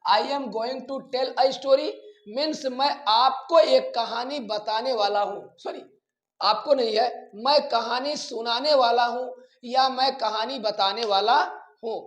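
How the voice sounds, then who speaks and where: Guy teaching grammar, really slow, repeats bits for the students, echoey room sound. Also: very high-pitched, fairly bright tone. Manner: deliberate, repeats phrases for emphasis; instructive, addresses students directly. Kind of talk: classroom-style grammar lesson.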